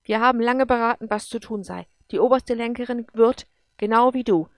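A woman's voice: on the high side, normal speed, no room sound, studio quality, pitched above the performer's own voice, lightly acted. A woman voicing a wrathful, imperious celestial judge in an audiobook, with stern, commanding pronouncements. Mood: stern, condemning.

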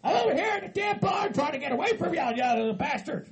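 Furious, high-pitched Southern hillbilly. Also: male voice, sing-song, fairly quick, creaky, a loud crowd, exaggerated voice. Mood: surly, angry. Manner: hot-tempered, shouting, profane. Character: furious hillbilly man, foul-mouthed, wronged, ranting.

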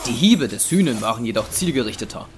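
A man narrating a scripted dramatic story in a measured, deliberate way. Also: mid-range voice, expressive. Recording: music under the voice.

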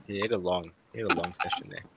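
A man's voice: low-pitched, fairly even intonation, fast, vocal fry, muffled recording. A young Australian man with an Australian accent, co-hosting a podcast in a laid-back casual chat and laughing easily. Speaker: podcast co-host. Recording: faint background hiss.

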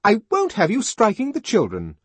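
Bossy head nurse, loud and scolding, as a male narrator puts her on. Husky, dry. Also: on the high side, huge pitch swings, measured pace, dry recording, voice pushed up, exaggerated voice. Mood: fierce. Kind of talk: audiobook reading.

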